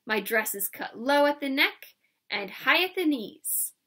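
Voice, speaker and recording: A woman's voice: sits high, animated, unhurried, sharp tone, heavily acted. A woman voicing a fearless little girl who mocks sweetly. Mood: teasing. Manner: sweetly mocking. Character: cheeky, fearless little girl, strong and playful.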